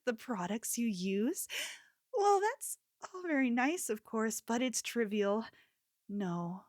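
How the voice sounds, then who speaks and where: A woman's voice: medium pitch, darkish tone, no room sound, studio quality, natural voice. A woman playing an obsessive, possessive convenience store cashier in an ASMR roleplay, pleading and unsettlingly sweet. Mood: creepy, smug. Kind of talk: ASMR roleplay, scripted character acting.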